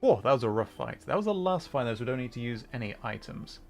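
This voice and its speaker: A man's voice: mid-range voice, big pitch swings, fast. Man livestreaming over background music. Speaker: livestream host, VTuber gamer. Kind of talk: casual chat mixed with game commentary.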